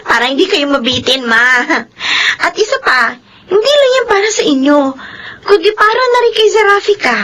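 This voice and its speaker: An actress in a Filipino radio drama playing an eager, caring older sister and devoted daughter with expressive delivery. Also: female voice, very high-pitched, moderate pace, slight background noise, heavily acted. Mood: cheerful.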